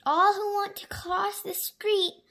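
A woman's voice: very high-pitched, level tone, slow, creaky, pushed and bright, voice pushed up, exaggerated voice. A woman voicing a friendly, eager giant dinosaur in a storybook, playful and exclaiming.

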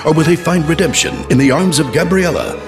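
A man's voice: fairly high pitch, expressive, fairly quick. A man delivering scripted narration as the announcer of an audio trailer. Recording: music bed behind narration.

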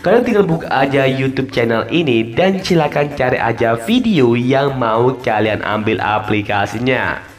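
A man's voice: medium pitch, moderate pace. A man giving a casual, step-by-step app tutorial voice-over, informally repeating instructions and drawing out the ends of phrases. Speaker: tutorial host. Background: music.

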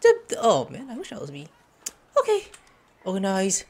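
A man's voice: high voice, normal speed. A man giving casual, joking commentary while playing a game. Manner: joking, excitable, talks to himself. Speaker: gamer playing the quest. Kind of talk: casual let's-play commentary.

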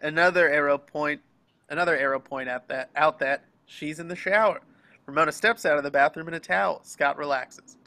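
A man reads screenplay action lines as the narrator of a table read. His voice is bright and forceful, pitched a bit above average for a man. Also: a little fast. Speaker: narrator reading action descriptions. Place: online group video call.

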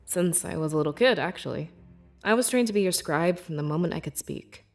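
A woman playing a young, devoted beast-folk scribe in a fantasy video game, with earnest, measured and expressive delivery. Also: lowish voice, soft background music. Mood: reflective, sincere. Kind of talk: scripted video game character dialogue.

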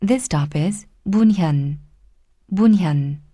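A woman reading recorded subway station announcements in a slow, clear, formal way. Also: on the low side, mellow, no room sound, clean studio sound.

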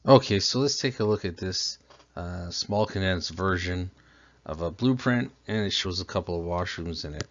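Low-voiced man teaching over slides. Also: moderate pace. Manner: patient, explains step by step with questions. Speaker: plumbing instructor. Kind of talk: slideshow lesson narration. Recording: dull lo-fi voice-over.

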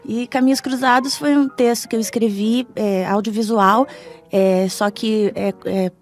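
Brazilian woman, middle-aged radio guest, informal, fairly high voice over faint music. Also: steady tone, unhurried. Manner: informal, often adding tag questions. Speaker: interview guest. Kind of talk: casual radio interview. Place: small foam-padded radio studio. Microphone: studio microphone.

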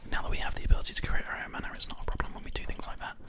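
A gamer whispers a calm, unhurried running commentary in ASMR style. The voice is breathy and unvoiced, very close on a binaural mic that moves from ear to ear. Keyboard typing and mouse clicks sit behind it. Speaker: host and gamer narrating play. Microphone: close binaural microphone, ear to ear.

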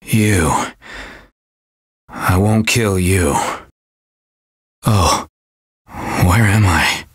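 A man voicing a savage, dazed young mercenary for a video game, husky and rough, halting and menacing. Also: low voice, no room sound, studio quality, exaggerated voice. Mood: dazed, brooding.

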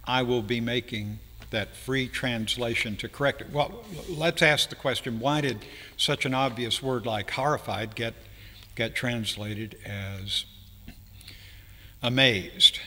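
A man in his seventies preaching an explanatory sermon from a church pulpit, with deliberate, halting phrasing and pauses mid-sentence. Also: mid-range voice, bright, forceful tone. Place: church sanctuary, speaking from a pulpit. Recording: reverberant hall, long echo.

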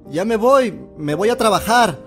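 A man's voice: sits high, expressive, moderate pace, a bit bright, faint music behind. An actor playing a bewildered, grieving widowed father in an audio drama, with a Mexican accent and a plaintive, hesitant delivery. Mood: tender, reassuring.

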